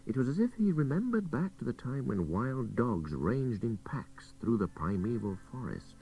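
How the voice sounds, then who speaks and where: A man reading a literary adventure story aloud in measured, expressive, dramatic narration. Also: medium pitch, vocal fry. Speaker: story reader. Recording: old cassette radio recording, distant, faint hiss.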